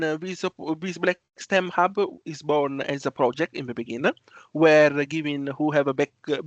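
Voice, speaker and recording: A man's voice: fairly high pitch, no room sound. A young man with an Italian accent, a student intern presenting on a video call, speaking hesitantly with many restarts. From Italy. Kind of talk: online presentation. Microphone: video call mic, cutting in and out.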